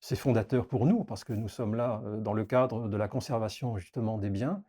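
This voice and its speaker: Guy restorer explaining stuff for a documentary, mellow voice, formal careful wording. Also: lowish voice, normal speed. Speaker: painting restorer. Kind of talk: documentary interview, explaining the craft.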